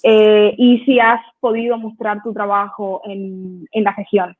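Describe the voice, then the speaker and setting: A woman interviewer asking questions in a measured way in a conversation. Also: mid-range voice, level tone. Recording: tinny call audio.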